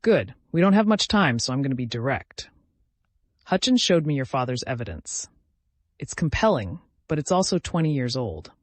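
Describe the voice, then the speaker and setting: A man's voice: mid-range voice, big pitch swings, moderate pace, no echo, lightly acted. A man voicing a professional, direct woman prosecutor with controlled tension in a dramatic audio story. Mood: brisk, businesslike.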